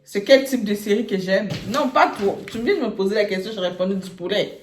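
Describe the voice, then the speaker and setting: A chatty woman from Congo runs a casual quiz game, repeating words and laughing as she talks. Her voice sits at a middle pitch and rings in an echoey room, with a faint hiss behind it. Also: fairly quick. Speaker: channel co-host. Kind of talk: casual quiz game between two people.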